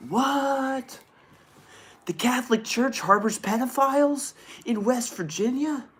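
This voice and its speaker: A man's voice: extremely high pitch, slow pace, slightly rough. A man reading the news aloud and rambling casually about it as a show host, with sarcastic, feigned astonishment.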